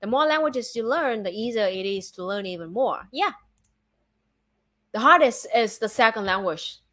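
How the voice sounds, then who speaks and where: Chatty Vietnamese-accented woman, slightly high. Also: animated, moderate pace, fairly bright tone. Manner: chatty, with many filler likes and restarts. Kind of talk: casual chat.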